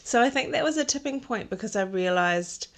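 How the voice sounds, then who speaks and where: A woman's voice: fairly high pitch, lively intonation, brisk, fairly bright tone. A woman, a podcast guest talking through her career in a thoughtful way, with many ums and restarts. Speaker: podcast guest, textile designer. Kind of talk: casual interview conversation.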